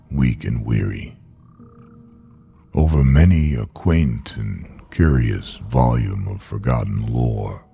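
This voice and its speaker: A man performing a grieving, haunted narrator, reciting gothic verse for a radio drama in a brooding, solemn, deliberate manner. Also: rumbling low, creaky, mellow, husky, full character voice. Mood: brooding, mournful. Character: grieving, haunted man telling his midnight tale.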